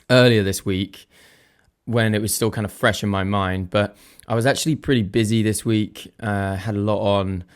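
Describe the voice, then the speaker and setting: A young man hosting his own podcast, a little croaky, chatting casually and thinking aloud in a self-deprecating way. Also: fairly low pitch. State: slightly croaky voice. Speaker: podcast host. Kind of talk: casual solo podcast chat.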